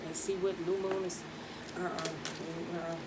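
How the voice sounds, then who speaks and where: A woman with a husky voice doing a casual, chatty tarot reading, speaking in with laughs and slangy asides. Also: on the low side, level tone, moderate pace, very noisy. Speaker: tarot reader.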